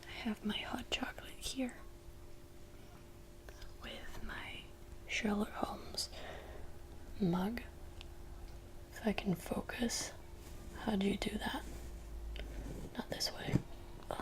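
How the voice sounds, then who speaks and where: A woman's voice: medium pitch, slow, long pauses. A woman in her twenties from France, whispering a rambling, self-deprecating chat for ASMR, into a desktop microphone in a lamp-lit room at home. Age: 20s. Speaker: ASMR creator. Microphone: desktop USB microphone.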